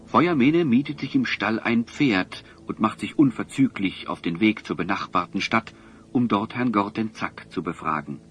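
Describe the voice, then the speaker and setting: Low-voiced male actor as dramatic storyteller. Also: expressive, moderate pace, close to an everyday voice. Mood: solemn, suspenseful. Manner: dramatic, literary. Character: solemn male storyteller narrating a fantasy tale. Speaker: narrator. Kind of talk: scripted narration. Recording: dull, faintly noisy audio drama recording.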